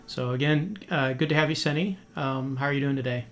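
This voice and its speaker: A man's voice: medium pitch, fairly quick, fairly bright tone. A man hosting a podcast interview in a friendly, casual way, with many fillers and restarts. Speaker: podcast host.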